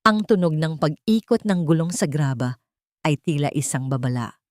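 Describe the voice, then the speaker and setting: A Filipino woman narrates a scripted drama in the first person. Her voice sits low and is recorded dry, with no room around it. The delivery is solemn and deliberate, with theatrical weight. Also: animated, no echo. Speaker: audiobook narrator.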